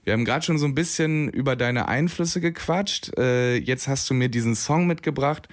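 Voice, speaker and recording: A man hosts a campus radio show from the studio, easing questions to his guest. His talk is relaxed and conversational, with a few fillers, into a close studio microphone. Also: medium pitch, normal speed. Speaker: radio host. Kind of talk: casual radio interview.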